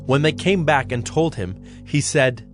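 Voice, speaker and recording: A man's voice: medium pitch, a little slow, soft background music. Male narrator, mid-range voice, scripted dramatized scripture reading with light score beneath.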